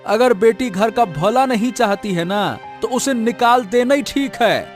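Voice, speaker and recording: A man playing a naive, excitable village farmer father in a cartoon, flustered and quick to scold. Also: very high-pitched, soft background music. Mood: angry, scolding. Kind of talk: Hindi cartoon voice acting.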